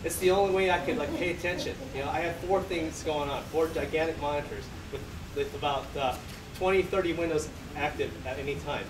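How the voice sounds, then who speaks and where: A man's voice: a little high, brisk. Male presenter in an echoey hall. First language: Chinese. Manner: self-deprecating, joking, many fillers. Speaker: conference presenter. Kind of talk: conference talk. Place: large conference hall. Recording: echoey hall, distant mic, room noise.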